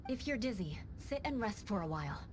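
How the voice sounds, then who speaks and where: A woman's voice: mid-range voice, very animated, background noise, lightly acted. Woman playing a composed, serious young swordswoman. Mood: steady, reassuring. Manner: measured, resolute, formal. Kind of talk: anime-style game voice acting.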